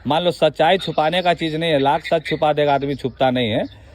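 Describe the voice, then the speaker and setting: A man's voice: on the high side, fairly quick, a little hiss or hum. A man with a Bihari accent in an informal phone conversation, asking pressing questions in a colloquial way and cutting in. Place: phone call.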